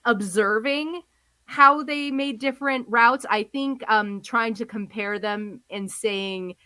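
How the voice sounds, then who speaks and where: A woman co-hosting a casual podcast, with a bright, clear voice. She talks with many ums and likes, feeling her way through her points. Her voice is recorded close and dry. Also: a little high, slow pace, dry recording. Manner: hesitant with many fillers, laughs easily. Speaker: podcast co-host. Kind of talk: casual discussion.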